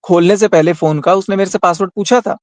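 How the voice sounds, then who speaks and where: A man's voice: sits high, fairly even intonation, quick pace, a little mellow, no echo. An Indian man arguing heatedly and insistently in a group discussion, often repeating his phrases. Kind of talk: heated argument in a group call.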